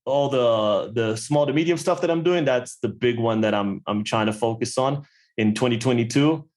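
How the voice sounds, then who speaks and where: A man's voice: mid-range voice, moderate pace, a little mellow. A man hosting a podcast, talking in a casual, hesitant way as he searches for words. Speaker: podcast host. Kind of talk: casual conversation.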